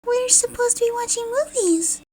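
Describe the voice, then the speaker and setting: A woman's voice: very high voice, normal speed, dark tone, a little hiss or hum, pitched above the performer's own voice. A woman voicing a playful, chiding little girl in a narrated story.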